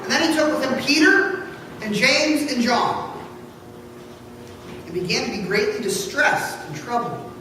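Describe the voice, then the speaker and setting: A woman reading scripture aloud in church, solemn and deliberate, with dramatic phrasing. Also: high-pitched, expressive. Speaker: scripture reader. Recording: echoey hall from far off, faint noise.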